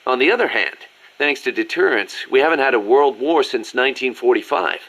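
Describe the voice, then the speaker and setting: A man's voice: medium pitch, lively intonation, a little fast, a bit bright, low-quality recording. A man playing a businesslike, articulate military second-in-command explaining things in a video game. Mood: serious, explanatory. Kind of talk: scripted video game briefing dialogue.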